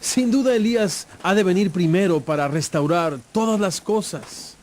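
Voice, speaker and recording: An actor playing an authoritative, earnest religious teacher with a solemn, emphatic delivery in a dramatized audio Bible. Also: male voice, sits high, normal speed, darkish tone, a little hiss or hum, natural voice.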